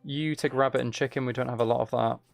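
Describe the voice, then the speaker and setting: Musing man with creaky voice, gaming. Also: medium pitch, fairly even intonation, quick pace. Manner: musing, self-talking, hesitant planning. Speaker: gaming streamer. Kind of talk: casual gameplay commentary, thinking aloud. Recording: keyboard clicks and typing noise. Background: faint noise, computer keyboard and mouse clicks.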